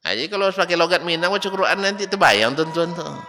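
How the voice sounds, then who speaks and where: A man preaching, his voice bright and cutting and pitched a little high. He lectures in a forceful way, firing off mocking rhetorical questions. The sound carries a long hall reverb over faint background noise. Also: animated, fast talker. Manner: rising to loud emphatic rebukes, mocking rhetorical questions. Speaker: Islamic preacher. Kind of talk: religious study lecture.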